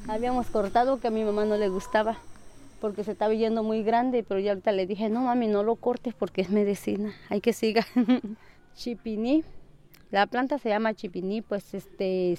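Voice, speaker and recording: A woman talks casually as she answers an interview. Her voice is clear and smooth, at a middle pitch. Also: mellow. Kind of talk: casual explanation.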